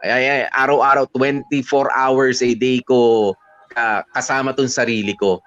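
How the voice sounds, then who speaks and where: A man's voice: mid-range voice, flat delivery, moderate pace, a bit bright, no echo, highs cut off. A man with a Filipino accent co-hosting a radio show, chatting casually in Taglish and often checking in with tag questions. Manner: conversational, punctuated with tag questions. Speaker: radio DJ co-host. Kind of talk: casual radio talk with Taglish advice to listeners.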